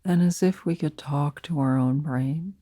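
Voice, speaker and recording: A woman leading a guided meditation as a teacher, speaking softly and soothingly in short, unhurried phrases with pauses between them. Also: low voice, no echo, clean studio sound.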